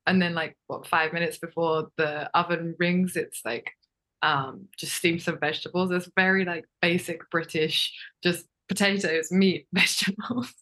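A young woman raised in Japan talks as a podcast guest in a loose, rambling way. Her voice sits a little low, and she fills her talk with "like" and "um" and soft laughs. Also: fairly even intonation, normal speed. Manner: chatty, many fillers and laughs. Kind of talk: casual interview chat.

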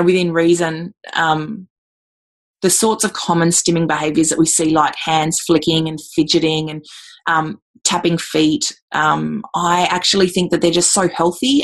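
A middle-aged woman answering interview questions in a thoughtful way, with many ums and repeated words. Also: on the low side. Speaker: guest.

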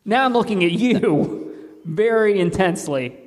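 A man's voice: high-pitched, animated, measured pace. Game host, a man, in an echoey hall. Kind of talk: casual comic banter. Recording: echoey hall with long reverb.

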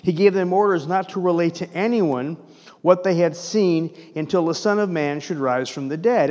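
Pastor guy preaching, kinda high voice, lots of back-and-forth questions, long church echo. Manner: animated preaching with rhetorical questions. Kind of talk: sermon. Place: church sanctuary.

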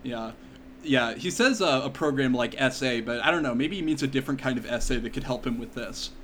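A man co-hosts a podcast in loose, joking chat, wandering off into tangents and filling pauses with "like". His voice sits at an ordinary pitch. A faint hum of fans runs under the recording. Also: fairly bright tone. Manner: joking, rambling, fond of filler words. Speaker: podcast co-host. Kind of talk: casual comedic chat. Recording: faint room noise, fans in background.

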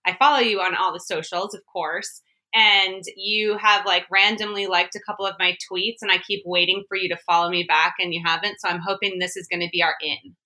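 A woman's voice: lowish voice, steady tone, pushed and bright, rough recording. A woman from Boston co-hosting a podcast, talking enthusiastically and with strong opinions. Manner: enthusiastic, opinionated, fast talker. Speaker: podcast co-host. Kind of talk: casual podcast chat.

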